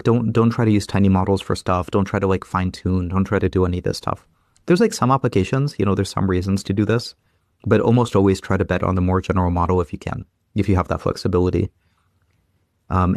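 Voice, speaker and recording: A man in his thirties from Ukraine speaks as an interview guest in a low voice. He talks in halting bursts, restarting sentences and leaning on fillers. The sound is dry and close, with no room around it. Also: vocal fry, darkish tone, no echo. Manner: halting, restarts often, many "like" and "you know". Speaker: guest, engineering lead. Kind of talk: casual interview talk.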